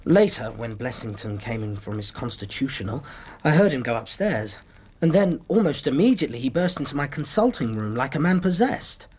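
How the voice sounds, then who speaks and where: An actor in a period radio drama playing an earnest, anxious British doctor, formal, conciliatory and precise. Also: male voice, mid-range voice, big pitch swings, fairly quick, a little mellow, slightly rough. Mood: troubled, recounting. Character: earnest, anxious, well-mannered Victorian male doctor.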